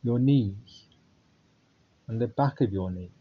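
A man guiding a body scan meditation in a calm, unhurried way with long pauses. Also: on the low side, husky, phone-quality sound. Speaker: meditation guide.

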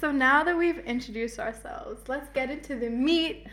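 A woman's voice: sits high, moderate pace, a bit bright. A twenty-one-year-old woman co-hosting a podcast, chatting in an informal, lighthearted, conversational way. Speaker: podcast co-host. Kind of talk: casual chat.

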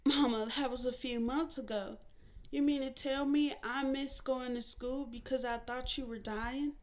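A woman's voice: high voice, steady tone, a little slow, pitched above the performer's own voice. A woman voicing a worried, dutiful young daughter in a raised, pleading voice. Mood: shocked.

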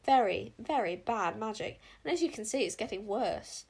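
A woman's voice: medium pitch, animated, gravelly, darkish tone, husky. A woman voicing a gloomy, fretful old mentor. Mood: grave. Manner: slow, grave, moaning. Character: worried, gloomy older male mentor.

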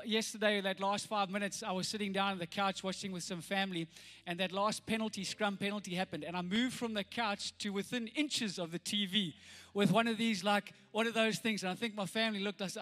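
A middle-aged South African man with a slightly croaky voice, praying and preaching a sermon with earnest, rising emphasis. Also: high voice, steady tone, a little fast, a bit bright. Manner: earnest, builds to rousing emphasis. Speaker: pastor. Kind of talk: sermon with prayer.

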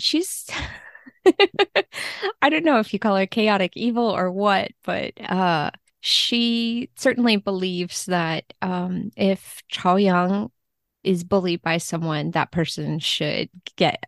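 Podcast guest, woman: lower-set voice, very dry sound, chatty, wry, conversational. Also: no echo. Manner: chatty, wry, quick to laugh. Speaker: guest, literary translator. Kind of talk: casual podcast conversation about books.

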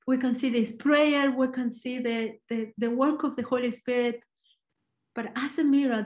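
A woman with an Argentinian accent giving a short, earnest devotional talk, reflective and pausing. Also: on the high side, darkish tone. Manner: earnest, reflective, slow pauses. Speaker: church speaker.

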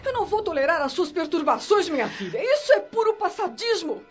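A stern mother in a radio melodrama, high-pitched and imperious. She scolds with exasperated, theatrical force. The recording is dull, with music underneath. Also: very animated, brisk. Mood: indignant. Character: stern, exasperated mother forbidding talk of the past. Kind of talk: radio drama acting. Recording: dull lo-fi sound over music.

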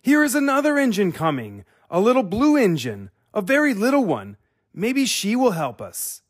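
Small toy clown for a storybook, played by a man: raised pitch, eager, hopeful, calling out. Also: animated, normal speed, no echo, studio quality. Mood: hopeful excitement. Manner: crying out, eager, pleading.

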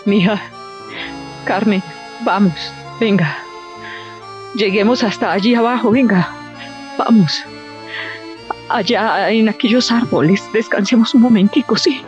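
A woman's voice: mid-range voice, a little slow, pauses often, close to an everyday voice. An actress playing a humble, protective and anguished mother in a radio drama. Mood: urgent, protective. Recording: dull muffled sound, music behind.

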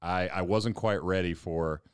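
A man's voice: sits low, unhurried, clean tone, no echo, studio quality. A man pastor talking thoughtfully in a casual panel discussion, often repeating words as he thinks.

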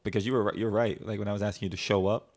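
An American man from the New Jersey area, hosting a casual relationship podcast with his wife and laughing often. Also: lowish voice. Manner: animated, laughs often, quick asides to the audience. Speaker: podcast host.

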